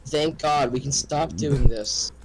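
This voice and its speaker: A man reacting casually as a co-reader, deadpan, disgruntled and profane, on a noisy recording. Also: mid-range voice, takes it slowly, a crowd in the background. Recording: clearly noisy background, clicks.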